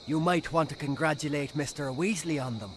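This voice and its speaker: An eccentric middle-aged wizard from a video game, played by a man. He speaks in a slightly high voice, with courtly, dreamy precision. Faint ambience sits behind him. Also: a little fast, noisy background, lightly acted.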